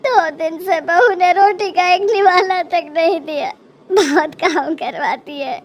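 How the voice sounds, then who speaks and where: Woman acting a whining, high-pitched scheming mother-in-law. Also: unhurried. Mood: tearful self-pity. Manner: whining, dramatic complaining. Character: scheming, self-pitying mother-in-law feigning innocence and misery. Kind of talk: Hindi cartoon moral story acting.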